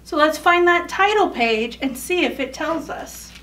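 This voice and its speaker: A woman's voice: sits high, lively intonation, a little slow, a bit bright, far from the mic, slight background noise. A young woman reading a children's picture book aloud, explaining as she goes and asking questions she then answers. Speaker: storytime reader. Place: indoors in front of a photo backdrop.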